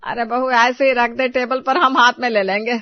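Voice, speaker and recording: A woman's voice: a little high, fairly even intonation, moderate pace, no room sound, muffled recording, lightly acted. Woman voicing a grumbling older Indian mother-in-law. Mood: eager.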